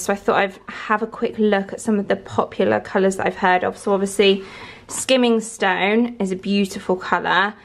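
A young woman chatting casually and enthusiastically about her home renovation, with lots of "like" and "um". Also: mid-range voice. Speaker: vlogger. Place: house under renovation, indoors. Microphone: camera held or set close, front-facing.

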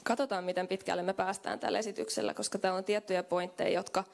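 A woman's voice: mid-range voice, steady tone, vocal fry. A young woman giving a conference keynote into a handheld microphone in a meeting room, talking in a conversational way with fillers. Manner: conversational, thinks aloud with fillers. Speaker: keynote speaker. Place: hotel conference room with small audience.

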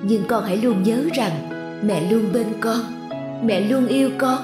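Gentle, worried cartoon mother, played by a woman. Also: a little high, unhurried, music in the background, close to an everyday voice. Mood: tender. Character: worried, tender mother of a teenage son. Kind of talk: cartoon voice acting.

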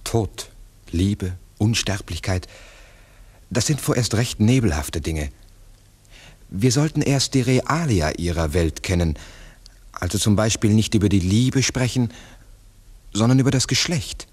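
An actor playing a wry, sceptical, inquisitive photographer in a 1960s German radio play, dry and precise. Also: male voice, lowish voice, expressive, unhurried, long pauses, darkish tone, husky, no room sound. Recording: noisy 1962 mono radio recording.

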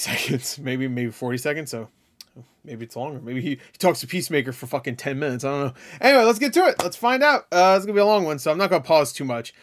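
Higher-pitched man, casual jokey reactions. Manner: slangy, swearing, jokey. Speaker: reaction video host. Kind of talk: casual off-the-cuff reaction commentary.

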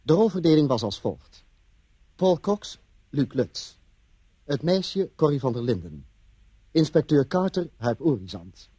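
A male announcer reads radio drama credits formally. His voice is mellow and slightly husky, with lively rises and falls, in a dry recording. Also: on the high side, unhurried, long pauses, no echo.

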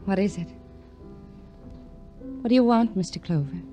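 An actress playing a lonely, enigmatic young woman in an old radio noir drama, with yearning, deliberate phrasing. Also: medium pitch, natural voice. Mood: wistful, probing. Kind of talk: late-1940s to 1950s radio noir melodrama acting. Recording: dull old radio recording, music behind.

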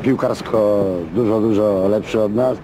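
A man's voice: lowish voice, fairly even intonation, unhurried. Male coach, blunt and terse, street noise behind. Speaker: football coach. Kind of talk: interview.

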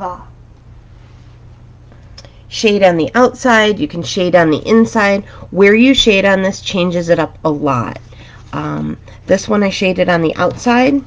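A woman teaching a drawing pattern step by step in a calm, chatty, reassuring way, her voice a little raspy. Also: lowish voice. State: slightly raspy from a dusty room. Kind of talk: relaxed step-by-step drawing tutorial. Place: home art studio, indoors.

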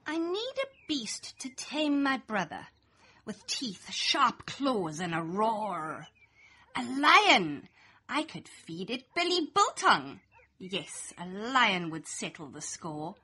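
A woman's voice: a little high, big pitch swings, slow pace, sharp tone, breathy edge. A young adult woman reading a rhyming children's picture book aloud in a playful, sing-song storytelling manner. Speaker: storybook reader. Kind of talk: expressive read-aloud children's story in rhyme.

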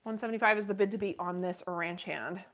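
A woman's voice: mid-range voice, steady tone, fast talker. A woman in her thirties hosting a live online purse auction in a shop, chatty and bubbly as she calls out bids. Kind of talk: live-stream sales auction. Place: western boutique shop, indoors.

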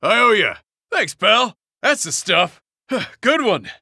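Cocky brawler with wildly swinging pitch. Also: male voice, big pitch swings, slow, gravelly, pushed and bright, no echo, studio quality, heavily acted. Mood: cocky, friendly. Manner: brash, slangy short exclamations. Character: cocky, brawny young male brawler, boisterous and friendly. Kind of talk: video game battle voice clips.

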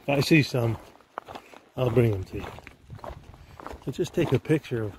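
A man's voice: mid-range voice, lively intonation, moderate pace, a little mellow, a little hiss or hum. A man hiking a rocky mountain trail, chatting casually to viewers as he films, with an upbeat, easygoing manner. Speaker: hiker filming his trail vlog. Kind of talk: casual walk-and-talk narration. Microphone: handheld camera while walking.

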